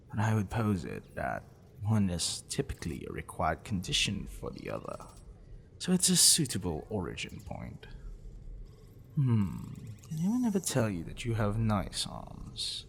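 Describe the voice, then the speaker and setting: A man playing a possessive, smitten professor in an intimate ASMR roleplay, wordy and clinical, with teasing, musing pauses. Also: on the low side, creaky, slight background noise, exaggerated voice. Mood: pedantic, musing.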